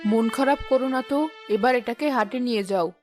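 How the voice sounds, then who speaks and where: A woman's voice: a little high, moderate pace, natural voice. A woman voicing a practical, consoling village wife and mother in a cartoon, reassuring but firm. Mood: coaxing. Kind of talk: Bangla cartoon voice acting. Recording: music behind the voice.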